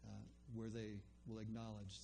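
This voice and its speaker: A man's voice: lowish voice, completely flat delivery, moderate pace, dark tone, faint music behind. An older man telling a personal faith story in a loose, rambling way, full of ums and restarts. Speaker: storyteller recounting a faith conversation. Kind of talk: casual spoken testimony.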